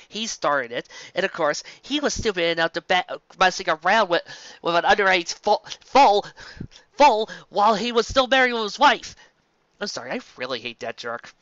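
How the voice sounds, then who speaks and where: Animated, bright-voiced man hosting story readings. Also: high-pitched, dry recording, muffled recording. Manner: halting, stumbling, enthusiastic. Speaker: host and reader of a fan fiction series. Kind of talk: casual commentary and story narration.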